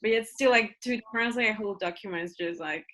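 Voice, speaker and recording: Woman host chatting, mid-pitched voice. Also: fast, a bit bright. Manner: short reactions and easy laughter. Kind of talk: casual chat.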